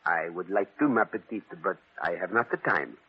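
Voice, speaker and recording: A courteous, shrewd detective speaks with a French accent in formal, hesitant phrases, his pitch swinging expressively. The voice is husky, heard through a narrow, muffled old radio broadcast. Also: animated, moderate pace, full character voice. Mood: gentle, kindly. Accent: French-speaking European. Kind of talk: old-time radio drama acting.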